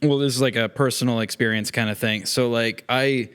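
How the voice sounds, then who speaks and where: A man's voice: mid-range voice, fairly even intonation, brisk, fairly bright tone, clean tone. A man chatting casually as a podcast guest, rambling along with plenty of "you know" and "like". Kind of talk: casual chat.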